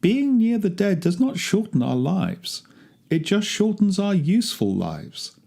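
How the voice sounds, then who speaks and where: Guy doing a fussy pious rabbi in a story, lecturing, voice pushed up higher, still mellow. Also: big pitch swings, unhurried, gravelly, heavily acted. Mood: preachy. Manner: preachy, didactic.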